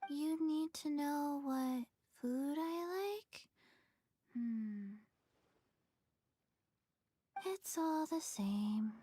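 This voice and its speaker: Very slow, deadpan little zombie girl. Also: high voice. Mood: blank. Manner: deadpan, halting, monotone delivery. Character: Qiqi, small flat, listless undead zombie girl. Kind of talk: game cutscene voice acting.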